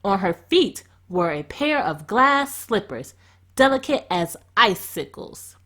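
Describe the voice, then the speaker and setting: Woman storyteller, expressive storybook narration, medium pitch, very animated intonation. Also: takes it slowly.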